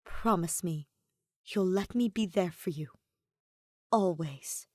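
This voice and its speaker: A woman voicing a gentle, quietly strong wife and mother, soft, tender and deliberate in an audio story. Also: fairly low pitch, no echo, studio quality. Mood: earnest, determined.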